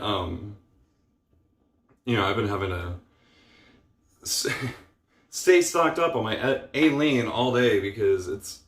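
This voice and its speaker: A young man vents in a loose, rambling way, leaning on fillers and casual swearing as he talks through his frustration. His voice sits at an ordinary middle pitch. The room around him is echoey and roomy. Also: a bit bright. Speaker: vlogger. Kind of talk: casual personal vlog rant.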